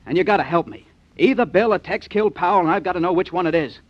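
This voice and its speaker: An actor playing a worried airline partner, talking in an urgent, pleading way in 1940s radio acting style. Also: male voice, high voice, fast, dry recording. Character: worried nervous airline partner, adult man. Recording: dull lo-fi old radio recording.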